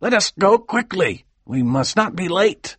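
A man voicing a brisk old minister who urges haste. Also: high voice, big pitch swings, a bit bright, a little hiss or hum, voice pushed up, heavily acted. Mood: urgent.

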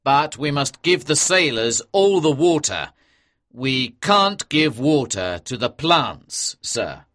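A woman's voice: extremely low pitch, sing-song, slow pace, a bit bright, voice pushed down, heavily acted. A woman voicing an earnest, dutiful male naval officer in a storybook, with a firm, respectful, serious manner. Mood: respectful, concerned.

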